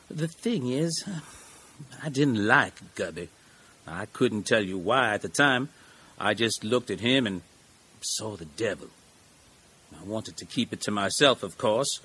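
Man acting folksy, animated small-town lawyer, husky voice. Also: mid-range voice, heavily acted. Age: middle-aged. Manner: folksy, rambling, deliberate storyteller. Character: genial, eccentric, folksy small-town defense lawyer, middle-aged man. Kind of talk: audio drama acting.

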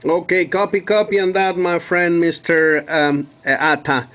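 Higher-pitched man making a radio contact. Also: little pitch movement, unhurried, very noisy. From Mexico. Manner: courteous, repeats key details. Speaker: radio operator. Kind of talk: two-way radio contact with call signs.

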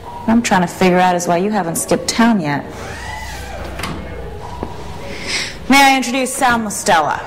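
A woman's voice: mid-range voice, animated, fast talker, in a large hall, natural voice, poor audio. An actress playing a tough, guarded young female street racer in a video game, curt and dismissive. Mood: cool, skeptical. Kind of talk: video game cutscene acting.

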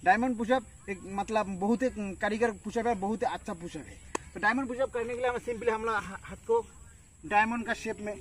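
A man's voice: high voice, brisk. A young man in his twenties demonstrating a home workout in a field, counting reps aloud while he lifts, with many fillers. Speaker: fitness instructor. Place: open farm field outdoors. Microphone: camera at a distance. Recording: distant outdoor camera audio, faint noise.

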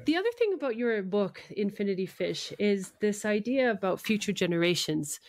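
A woman's voice: medium pitch, lively intonation, moderate pace, a little mellow, no room sound. A woman interviews a guest as a radio host. Her questions are conversational and casual, recorded dry with no room sound.

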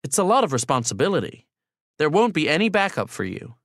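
A man acts out a brisk male boss in an audiobook story. He is informal and commanding, supportive in a blunt way, with a close, dry sound. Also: a little high, sing-song, creaky, fairly bright tone, no room sound, voice pushed up, heavily acted.

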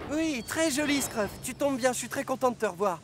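A man's voice: very high voice, expressive, brisk, a little hiss or hum. A man voicing a polite, hard-working steam engine in a children's cartoon, courteous and mild. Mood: hopeful. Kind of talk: dubbed children's cartoon acting.

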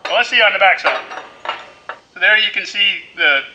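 A man's voice: high-pitched, animated, moderate pace, noisy background. A middle-aged man giving a casual, folksy welding demonstration in his workshop, full of "you know"s. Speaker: welding instructor. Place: indoor metal workshop.

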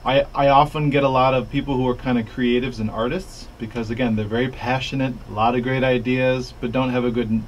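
An American man from upstate New York, a guest business coach on radio, explaining things in a friendly, measured way. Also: medium pitch, steady tone, dull audio, slight background noise. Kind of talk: radio interview. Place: small radio studio. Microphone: studio boom mic.

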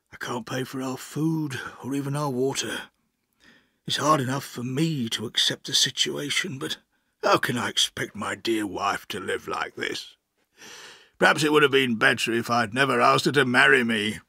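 A man voicing a pompous, grandiloquent older gentleman in an audiobook, theatrical and emotional in his delivery. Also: on the high side, huge pitch swings, measured pace, no room sound, clean studio sound, voice pushed up, exaggerated voice. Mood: miserable, self-pitying. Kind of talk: audiobook character acting.

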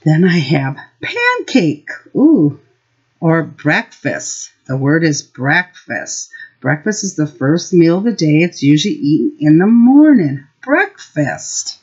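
A middle-aged woman teacher explaining simple words and reading a story aloud to young children, instructive and expressive. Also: deep voice, slow, breathy edge. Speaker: preschool teacher. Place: small room at home. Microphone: built-in webcam or laptop mic. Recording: dull, lo-fi webcam audio.

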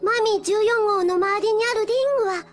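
Tiny squeaky childlike robot cat. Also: female voice, fairly quick, faint music behind. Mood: earnest. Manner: childlike, bright-eyed, expressive. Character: cute childlike robot cat, cheerful and earnest. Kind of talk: anime dubbing.

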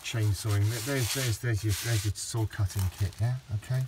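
A middle-aged man with a low voice, rambling through a casual hands-on garden demonstration with lots of restarts. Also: fairly even intonation, moderate pace, background noise. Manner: rambling, with many restarts and fillers. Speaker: DIY vlogger. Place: outdoors in a garden.

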